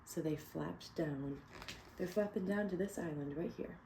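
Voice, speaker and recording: Woman teacher, picture-book read-aloud, encouraging, asks the class questions; mellow low tone. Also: moderate pace, gravelly, slight background noise. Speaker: teacher reading to children.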